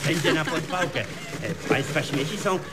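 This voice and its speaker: An actor playing a politely violent, brutish husband in a comedy radio play, folksy and matter-of-fact. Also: male voice, on the high side, unhurried, background noise, natural voice. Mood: sociable. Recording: radio comedy with audience behind.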